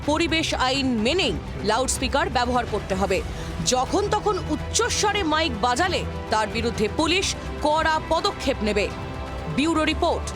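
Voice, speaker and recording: A high-pitched news reporter voice with a West Bengal Bengali accent delivers scripted narration. Music runs clearly behind the voice. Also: big pitch swings, moderate pace.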